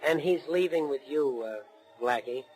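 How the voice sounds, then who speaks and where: A smug, high-voiced callous heir. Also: male voice, animated, unhurried, darkish tone, soft background music, rough recording. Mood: hostile. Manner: flippant, sneering. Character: callous, greedy, smug grown son of rich family. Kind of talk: 1940s radio acting. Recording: restored 1940s radio drama recording.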